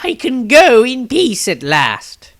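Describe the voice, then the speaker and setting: A man voicing a very old, wistful and cheeky male wizard in a storybook, with drawn-out words. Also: very high-pitched, huge pitch swings, a bit bright, voice pushed up, full character voice. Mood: cheerful farewell.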